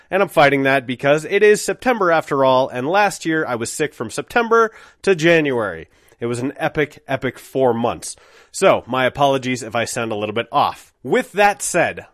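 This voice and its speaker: A man's voice: medium pitch, a bit bright, no room sound, studio quality. Man with a cold hosting a podcast. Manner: earnest, candid, conversational. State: has a cold. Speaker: podcast host. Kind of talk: casual podcast intro monologue.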